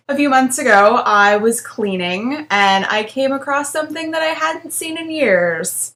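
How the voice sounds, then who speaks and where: A young woman vlogger, chatting casually and reading out old writing with wry, self-mocking asides. Her voice sits a bit high. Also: a bit bright. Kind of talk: casual vlog chat and dramatic reading.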